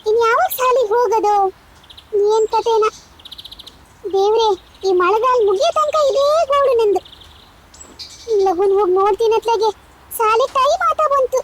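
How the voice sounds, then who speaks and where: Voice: extremely high pitch, normal speed, pauses often, noisy background. A performer voicing an exasperated cartoon village mother who nags, grumbles and coaxes. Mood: grumbling. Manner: nagging, grumbling, coaxing. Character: exasperated village mother scolding and coaxing her son. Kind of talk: cartoon dialogue in colloquial village speech.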